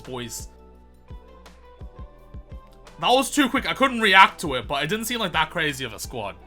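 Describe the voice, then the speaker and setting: A young man hosting a gaming video in a casual, chatty way, his voice slightly croaky and strained, and he bursts into loud excited shouts. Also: mid-range voice. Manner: chatty, calls viewers boys, erupts into loud excited shouts.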